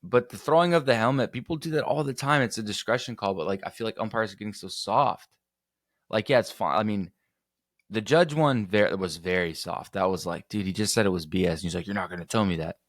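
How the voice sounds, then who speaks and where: Man, podcast host, lowish voice a bit hoarse, chatty and self-correcting, dry home recording. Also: a little fast, no room sound. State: slightly hoarse. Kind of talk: casual sports podcast talk.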